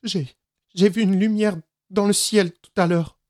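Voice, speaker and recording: A man voicing a young boy who is excitable and defiant, speaking high and urgent in a storybook reading. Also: a little slow. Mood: sobbing.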